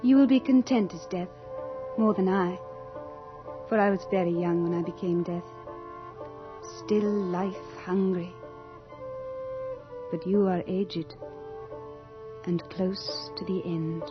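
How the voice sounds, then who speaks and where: An actress playing a young, beautiful female Death in a radio horror drama, theatrical and deliberate, capricious and longing to be mortal. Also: on the low side. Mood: solemn. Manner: theatrical, deliberate, breathy at moments. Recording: dull old radio drama with music.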